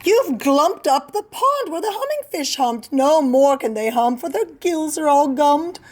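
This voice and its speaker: A woman's voice: high voice, animated, unhurried, voice pushed up. Woman doing a grumpy little forest creature. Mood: scolding. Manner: scolding, pleading storybook voice. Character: small grumpy male forest creature, scolding.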